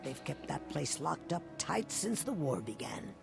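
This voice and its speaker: An actor playing a desperate, ashamed addict in a fantasy video game, pleading and anxious, with a gravelly voice. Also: male voice, a little high, sing-song, measured pace, exaggerated voice. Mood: nervous confiding. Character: desperate, ashamed addict, a down-on-his-luck fishery worker. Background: game music.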